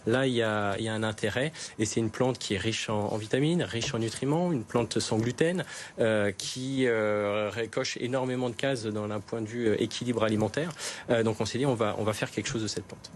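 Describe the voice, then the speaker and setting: A man's voice: on the low side, brisk, a little hiss or hum. A man, a farmer, explaining his crops in an interview, earnest, with many hesitations and restarts. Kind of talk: interview answers.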